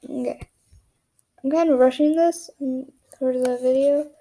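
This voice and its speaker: A young woman narrating a casual drawing tutorial in a monotone, rambling way, often dropping to a whisper as she works. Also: high voice, a little slow. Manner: monotone, rambling, self-deprecating.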